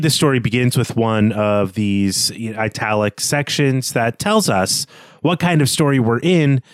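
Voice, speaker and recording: A man co-hosting a podcast, giving a prepared story summary in a measured, explanatory manner. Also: medium pitch, no room sound. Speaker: podcast co-host.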